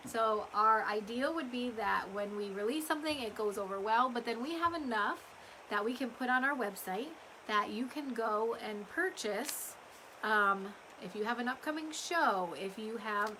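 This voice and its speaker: Woman, bright tone, chatty livestream host, rambling, slightly strained voice, faint background noise. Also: a little high, normal speed, poor audio. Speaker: small business owner hosting a live update. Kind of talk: casual livestream chat to customers.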